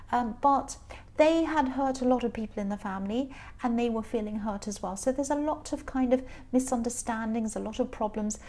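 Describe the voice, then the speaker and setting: A woman with a slightly croaky voice giving a chatty, rambling tarot reading full of fillers. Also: fairly high pitch, mellow. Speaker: tarot reader. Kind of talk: casual spoken tarot reading.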